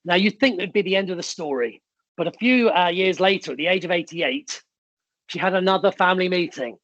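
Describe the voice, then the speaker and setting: A man's voice: high voice, a little fast, a bit bright, no echo, lo-fi sound. Emphatic man giving a motivational keynote. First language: Italian. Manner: emphatic, storytelling, punchy short phrases. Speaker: keynote speaker.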